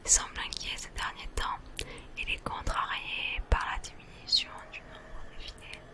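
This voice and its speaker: A woman whispering gently and unhurriedly as she hosts an ASMR gaming session, commenting and reading aloud. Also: normal speed.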